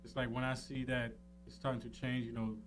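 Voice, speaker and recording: Woman co-host, very deep voice, casual and agreeable, off-mic with clear music behind her. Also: little pitch movement, fairly quick, distant mic. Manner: agreeable, brief interjections. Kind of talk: casual conversation.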